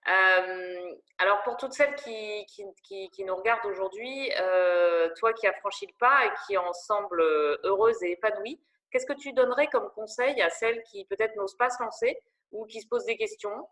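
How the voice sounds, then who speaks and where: A woman's voice: mid-range voice, moderate pace, fairly bright tone. A woman interviewing a guest for a women's network, asking questions with many hesitations. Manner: hesitant, many fillers and restarts.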